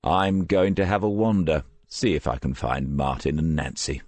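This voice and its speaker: Male detective sergeant read by a male narrator, deep, measured, understated, crime audiobook, very dry sound. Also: steady tone, a little mellow, no room sound. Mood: dry, tactful.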